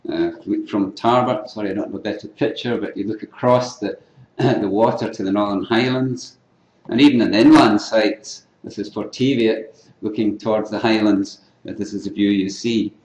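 An older Scottish man giving an unscripted academic lecture at a conference, often clearing his throat and restarting phrases. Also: on the low side, fairly even intonation, moderate pace, darkish tone. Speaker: keynote lecturer in archaeology. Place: lecture hall. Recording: echoey lecture hall.

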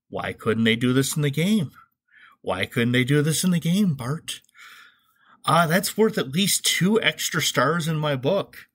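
A man's voice: mid-range voice, a bit bright. A middle-aged man hosting a casual, comedic movie review podcast in an opinionated, wry, informal way. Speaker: podcast host.

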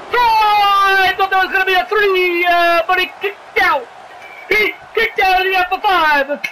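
Man putting on a very high-pitched Italian wrestling commentator. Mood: excited. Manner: excitable, exclamatory, stumbling over calls. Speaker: play-by-play commentator. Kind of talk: comic pro wrestling commentary. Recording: clearly noisy background.

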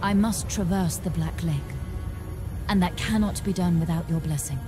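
An actress playing a determined, respectful adventurer in a dark fantasy game, speaking formally and earnestly. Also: female voice, lowish voice, fairly even intonation, normal speed, lightly acted. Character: determined, respectful female adventurer seeking a blessing. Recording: music behind the voice.

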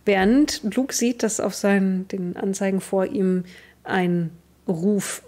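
A woman's voice: mid-range voice, takes it slowly, dark tone. A woman in her thirties narrating a tabletop game as game master.

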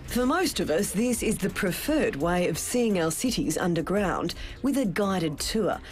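A woman reporter reading a scripted current-affairs voice-over in a measured, dramatic storytelling way. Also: on the low side, big pitch swings, soft background music. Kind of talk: scripted news narration. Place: studio voice-over.

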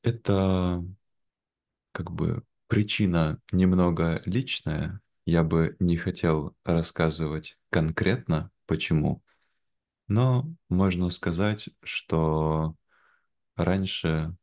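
A young man with an extremely low voice talks at a slow, measured pace. His phrasing is simple and clear, as in conversation meant for language learners. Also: fairly even intonation, a little mellow. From Veliky Novgorod, Russia. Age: young adult. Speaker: interview guest, language teacher. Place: indoor room, video call. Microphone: video call, wearing headphones.

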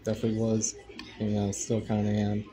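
A man in his twenties chatting casually on a live stream, soft-spoken and hesitant, with many fillers. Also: lowish voice, completely flat delivery, fast talker. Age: 20s. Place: indoor room by a window.

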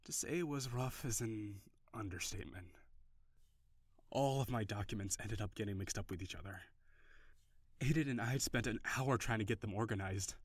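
A man's voice: medium pitch, no echo, studio quality. Man playing a stressed, swearing boyfriend. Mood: soft, affectionate. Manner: sighs often, curses when frustrated, softens into affection. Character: stressed, overworked office boyfriend, gruff but tender. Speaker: voice actor. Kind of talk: audio roleplay.

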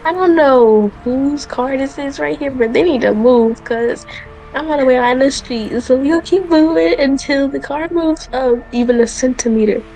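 High voice, bossy spoiled rich young woman played by a woman, sassy, dismissive, game roleplay. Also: moderate pace, mellow, soft background music, low-quality recording. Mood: breezy.

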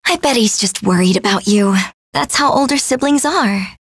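A woman's voice: fairly high pitch, lively intonation, moderate pace, slightly rough, dry recording. A woman voicing a sweet, innocent, bubbly young fairy girl in an anime game. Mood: wistful, sweet. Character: girlish, cheerful, sweet fairy little sister.

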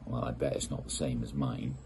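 A middle-aged man from the United Kingdom reading a children's picture book aloud, with a playful, expressive storytelling manner, filmed outdoors in a garden gazebo by a camera at desk distance. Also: extremely low pitch, fairly quick, gravelly, a little hiss or hum.

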